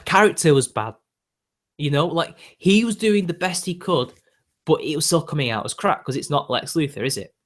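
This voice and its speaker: A British man in his twenties with a young-sounding voice, chatting casually and ranting to his viewers with lots of fillers. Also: on the high side. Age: 20s. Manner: ranty, exasperated, filler-heavy. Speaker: YouTube host.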